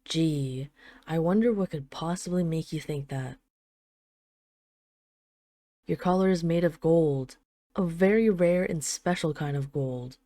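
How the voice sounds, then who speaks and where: A woman's voice: on the low side, warm tone, no echo, natural voice. A woman playing a haughty, teasing dragon queen in an intimate audio roleplay, with an imperious, sarcastic, mock-sweet manner. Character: haughty, teasing dragon queen, possessive of her human pet husband. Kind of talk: intimate scripted audio roleplay addressed to the listener.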